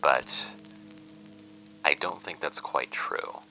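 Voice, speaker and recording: A man reads as a jaded, unapologetic television monster hunter confessing in a memoir. The American voice is very deep and bright, with a dry, world-weary delivery over music in a fiction podcast. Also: takes it slowly, gravelly, exaggerated voice, low-quality recording. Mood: dry, confessional. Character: jaded woman TV bigfoot hunter reading her confessional memoir. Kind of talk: first-person memoir narration.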